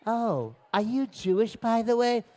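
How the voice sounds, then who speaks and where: A man voicing a polite, helpful young girl in an oral story, gentle, chatty and a little hesitant. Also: extremely high pitch, expressive, measured pace, voice pushed up. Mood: curious, polite.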